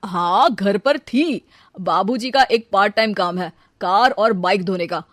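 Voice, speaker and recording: A woman's voice: mid-range voice, unhurried, fairly bright tone, no room sound, studio quality, close to an everyday voice. A woman voicing a boastful, fibbing young man in a comic story, making glib, stammering excuses without shame. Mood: glib, self-justifying. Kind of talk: comic Hindi audio story dialogue.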